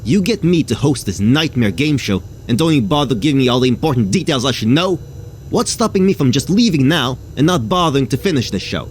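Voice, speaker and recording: A man's voice: mid-range voice, sing-song, brisk, slight background noise, close to an everyday voice. A man playing an exasperated, anxious host of a nightmare game show in an audio drama, sarcastic and showy. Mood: indignant.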